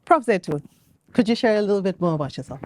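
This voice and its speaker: A woman moderating a conference panel, speaking in a clear, measured way that trails off at the end of phrases. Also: medium pitch, lively intonation, a little mellow, a little hiss or hum. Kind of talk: panel introductions. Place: conference venue.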